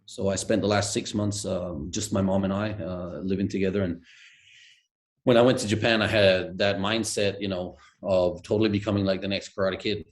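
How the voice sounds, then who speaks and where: A man's voice: sits low, steady tone, moderate pace. A middle-aged man from Denmark, a guest telling stories in a hesitant, reflective way with many fillers, heard over a video call from a room at home. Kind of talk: reflective conversational storytelling. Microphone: video call webcam.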